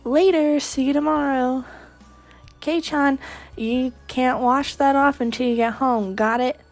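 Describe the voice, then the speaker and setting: A woman's voice: sits high, fairly even intonation, measured pace, a little mellow, soft background music, pitched above the performer's own voice, poor audio. A woman voicing a brash, boastful, teasing teenage girl in a visual novel reading, speaking emphatically.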